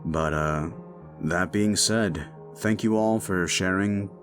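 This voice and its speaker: A man's voice: deep voice, takes it slowly, soft background music. A man speaking casually as an audio roleplay creator, giving an informal outro to his listeners.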